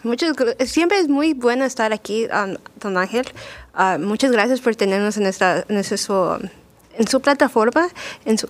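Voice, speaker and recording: A woman from Mexico, a radio guest, speaking hesitantly with many restarts and an occasional English word. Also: mid-range voice. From Puebla, Mexico. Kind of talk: casual radio chat.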